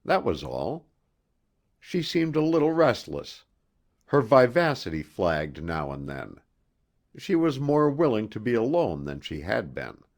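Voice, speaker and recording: A man narrating a literary horror story as an audiobook, in a measured and expressive manner. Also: fairly low pitch.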